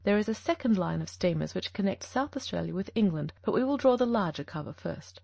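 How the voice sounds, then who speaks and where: A shrewd detective speaks calmly and firmly, his voice gravelly and mellow, voiced by a woman reading the story. The sound is dry and close. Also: medium pitch, no room sound. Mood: measured. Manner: measured, gently firm. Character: calm, shrewd male detective, gentle but firm.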